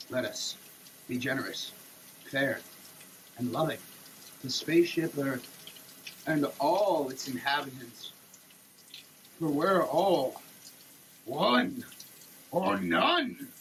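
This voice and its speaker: High-pitched man in theatrical, swooping dramatic reading. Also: sing-song, gravelly, a bit bright, a little hiss or hum, low-quality recording. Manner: theatrical, over-emphatic, halting delivery. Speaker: comedic performer. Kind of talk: dramatic reading of a product label.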